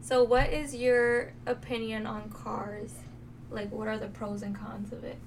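A woman's voice: a little high, flat delivery, measured pace. A woman from New York City chatting casually and informally as a podcast co-host. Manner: informal, colloquial. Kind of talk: casual conversational podcast chat. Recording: distant mic, faint background noise.

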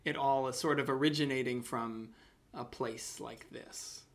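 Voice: mid-range voice, fairly even intonation, a little slow. A podcast host interviewing casually, thoughtfully working out comments and questions while speaking.

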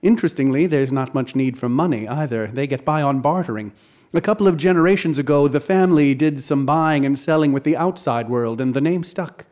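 An actor playing an eager author with a courteous, theatrical manner who relishes ghastly mysteries. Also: male voice, a little high, a little fast, a little mellow, natural voice. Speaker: narrator and lead character. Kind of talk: radio drama acting.

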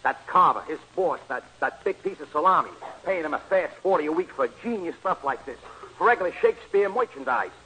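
An actor playing a bossy, slangy New York horse-betting gambler in a 1940s radio comedy, talking fast and ungrammatically. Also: male voice, on the high side, animated, pushed and bright, slight background noise, rough recording. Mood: excited, pushy. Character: brash, bossy American horse-betting gambler, sentimental, uneducated. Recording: old 1940s radio broadcast recording.

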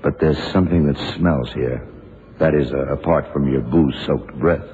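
An actor playing a tough, terse private detective with a deadpan manner in a radio drama. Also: male voice, rumbling low, normal speed, breathy edge, heavily acted. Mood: hard, dry. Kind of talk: hard-boiled radio drama acting. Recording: tinny, hissy 1970s radio recording.

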